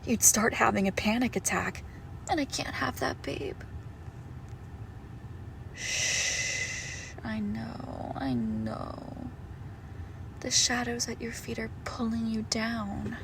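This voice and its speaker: A woman playing a possessive monstrous girlfriend in an intimate horror roleplay, condescending and darkly affectionate, with deliberate, drawn-out phrasing. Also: on the high side, steady tone, creaky, noisy background. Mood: soothing menace. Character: possessive monstrous girlfriend with shadow powers, sweetly menacing. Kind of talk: intimate horror roleplay audio, slow and hushed.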